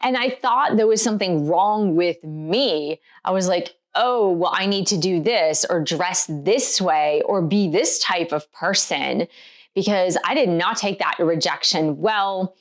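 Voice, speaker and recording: Middle-aged lady, bit hoarse today, talking candid and reflective to the camera on her vlog. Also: lowish voice, unhurried. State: slightly hoarse. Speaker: author and vlog host. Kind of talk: personal vlog talk to camera.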